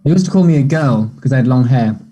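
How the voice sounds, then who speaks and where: Reserved loner schoolboy, played by a man, very mellow, husky voice, terse and understated, naturalistic play dialogue. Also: medium pitch, lively intonation, rapid-fire, rough recording. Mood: gentle, concerned. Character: guarded, reserved teenage schoolboy, quietly kind loner.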